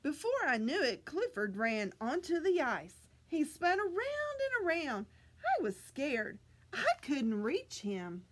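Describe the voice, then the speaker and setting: A middle-aged woman leads story time for little children. Her voice sits high and swings widely in pitch. She takes her time, sounding out words carefully with animated emphasis. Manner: deliberate, animated, exaggerated enunciation for children. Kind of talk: expressive picture-book read-aloud for young children. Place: small library children's room, indoors.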